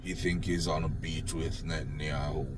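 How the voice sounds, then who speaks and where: A man's voice: extremely low pitch, level tone, normal speed, noisy background. An older man from Jamaica with a Jamaican accent, ranting sarcastically about politics into his phone, blunt and swearing freely. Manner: sarcastic, mocking praise. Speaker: political commentator. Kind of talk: unedited political rant.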